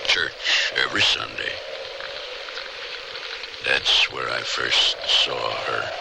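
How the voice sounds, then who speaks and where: An actor playing a rugged, bitter and regretful desperado and mountain guide in a radio drama, with a gravelly voice and a brooding, halting delivery. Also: male voice, background noise, exaggerated voice. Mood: bitter, wistful. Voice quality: very deep, gravelly.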